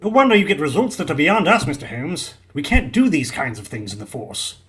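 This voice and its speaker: A man's voice: a little high, very animated, a little fast, fairly bright tone. A man acting a blunt, grudging Victorian police inspector in an audio drama, speaking in a cautionary way. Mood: grudging, warning.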